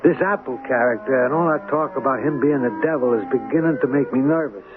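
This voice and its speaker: An actor playing a wary, skeptical small-time hustler with a colloquial, wisecracking manner in a 1970s radio drama. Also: male voice, mid-range voice, fast talker, over music, lightly acted. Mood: nervous. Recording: noisy, narrow-band old radio recording.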